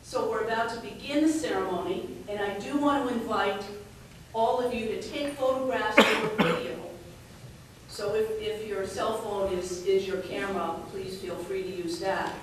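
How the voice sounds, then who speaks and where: Woman giving a formal speech, very echoey. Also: mid-range voice. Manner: measured, formal. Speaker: chief judge giving ceremony welcome. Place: large echoey courtroom. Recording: very echoey, distant mic, faint noise.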